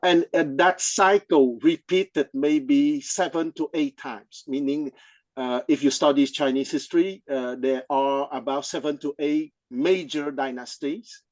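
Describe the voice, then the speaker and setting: Halting, explanatory man, close dry sound. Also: fairly high pitch, steady tone, darkish tone, no echo. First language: not English. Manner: explanatory, halting, checks with "okay?". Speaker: study group participant. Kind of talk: informal scholarly discussion.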